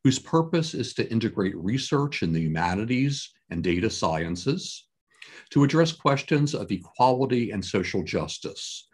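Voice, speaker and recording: Casting: man, 60s, husky, formal event host reading prepared remarks deliberately with widely varied intonation. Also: fairly low pitch. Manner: measured, deliberate phrasing. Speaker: conference host introducing a keynote speaker. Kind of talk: formal scripted opening address. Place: home office with bookshelves, indoors. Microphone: computer webcam at desk distance, video call.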